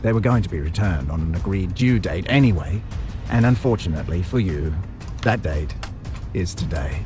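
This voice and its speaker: A man playing a maniacal butcher villain in an audio drama, taunting in a theatrical, drawn-out way. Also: low voice, expressive, music in the background. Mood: cold menace. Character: menacing maniacal butcher villain, gloating over his victim.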